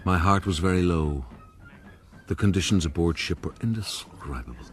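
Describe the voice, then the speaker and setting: Actor as a deep-voiced gentleman narrator. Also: faint music behind, natural voice. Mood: rueful. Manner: measured, literary storytelling. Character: older Irish gentleman recounting his youthful adventures. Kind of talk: radio drama first-person narration.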